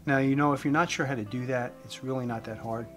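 A man in his fifties giving an earnest evangelistic talk to camera as a host, phrasing deliberately with emphasis. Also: mid-range voice, fairly even intonation. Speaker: host giving a faith message. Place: indoors, room with wooden beams. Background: guitar music.

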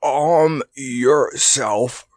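A man's voice: medium pitch, extremely slow, dry recording, studio quality, voice pushed up. A man voicing a big, proud, fatherly elephant in a storybook, grunting, huffy and stalwart. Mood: strained reassurance.

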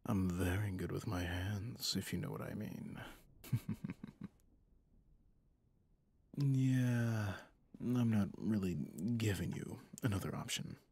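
A man voicing a possessive, smug mafia boss and smitten lover in an intimate ASMR roleplay, teasing and dominant, with a gravelly, breathy, often half-whispered voice. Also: sits low, a little mellow, no echo, heavily acted. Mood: teasing, seductive. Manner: slow, teasing, dominant, pet names.

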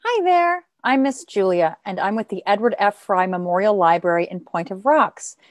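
A woman teaches an informal video lesson for children. Her voice sits at a middle pitch, picked up close and dry on an earphone mic. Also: animated, normal speed, no echo. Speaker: librarian teaching sign language. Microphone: earphone mic on a video call.

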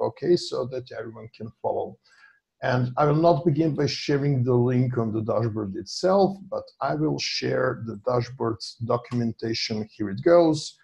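A man's voice: medium pitch, vocal fry, darkish tone. A man, a data scientist walking an online meeting through a dashboard on a shared screen, with many fillers and restarts. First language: Serbian. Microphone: video call.

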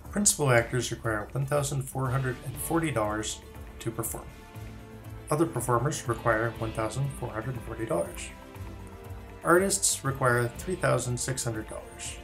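A man presenting his own project in scripted promotional narration. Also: medium pitch. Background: soft music.

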